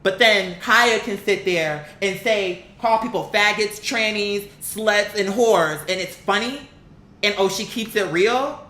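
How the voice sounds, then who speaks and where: A woman's voice: medium pitch, takes it slowly, bright, forceful tone. A woman giving blunt, opinionated casual commentary in an exasperated, emphatic way, swearing freely and arguing through rhetorical questions. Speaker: online commentator. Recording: echoey room with faint background noise.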